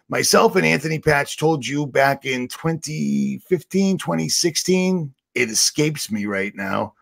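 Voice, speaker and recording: A man with a raspy voice, ranting sarcastically and rambling on a livestream as the channel host. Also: mid-range voice, fairly bright tone. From Boston area. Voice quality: raspy, gravelly.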